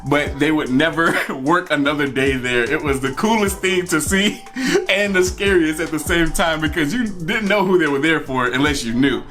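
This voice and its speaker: Male storytime vlogger, slightly high voice, conversational and emphatic delivery, music underneath. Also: normal speed, bright, forceful tone. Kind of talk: casual personal storytelling.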